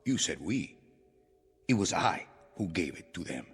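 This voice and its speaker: An actor playing a paranoid, grandiose revolutionary leader in a mid-century television drama. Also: male voice, low voice, sing-song, measured pace, breathy edge, heavily acted. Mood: scornful. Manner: grandiose, theatrical, commanding. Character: paranoid, imperious male revolutionary leader turned ruler. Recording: old TV soundtrack, reverberant, music behind.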